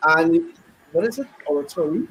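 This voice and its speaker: A man hosting a panel show, halting and stumbling a little as he introduces guests. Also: fairly high pitch, big pitch swings, a bit bright, breathy edge, low-quality recording. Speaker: host. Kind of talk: panel discussion.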